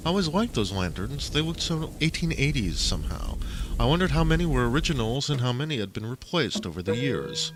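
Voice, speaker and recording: A man's voice: medium pitch, sing-song, a little fast, low-quality recording. A man reading his own stories as a dramatic first-person audiobook narration, with a theatrical manner, on a homemade recording.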